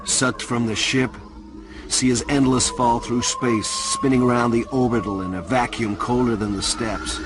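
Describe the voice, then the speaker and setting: An actor playing a brooding literary narrator in a science fiction radio drama, with measured delivery. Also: male voice, lowish voice, lightly acted. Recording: clear ambient noise behind voice.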